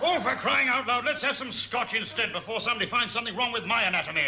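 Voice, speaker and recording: An actor playing a blustering, impatient senior British naval commander in a classic radio sitcom. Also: male voice, extremely high pitch, fast talker, creaky, heavy background noise. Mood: exasperated. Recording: dull old radio recording, studio audience.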